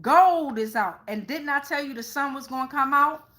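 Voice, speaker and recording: High, bright American woman reading tarot. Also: moderate pace. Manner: sassy, freely swearing, emphatic. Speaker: tarot reader hosting a live stream. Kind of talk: casual live tarot reading.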